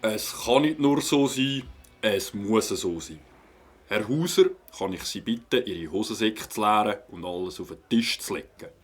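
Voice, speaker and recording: Husky, authoritative Swiss German police captain. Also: male voice, fairly low pitch, normal speed. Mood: triumphant, stern. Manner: formal, authoritative. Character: firm, methodical male police captain questioning suspects. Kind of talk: children's audio drama acting.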